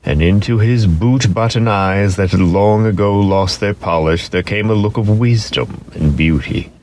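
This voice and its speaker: A man narrating a children's story dramatically, expressive and lingering on key words for emphasis. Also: fairly low pitch, a little slow, slight background noise.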